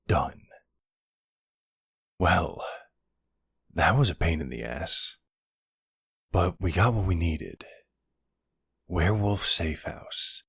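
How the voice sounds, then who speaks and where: A man playing a supportive, puzzled boyfriend in an intimate audio roleplay, speaking in a hesitant, gently questioning way with a husky voice. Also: low voice, dry recording, studio quality, exaggerated voice. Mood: determined, uneasy.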